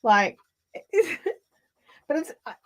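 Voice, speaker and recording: A woman hosting a casual craft livestream, chatting in a rambling way with lots of fillers and restarts, her throat slightly raspy. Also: high voice, very animated, extremely slow. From Australia. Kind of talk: casual live chat while spinning. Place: home craft room.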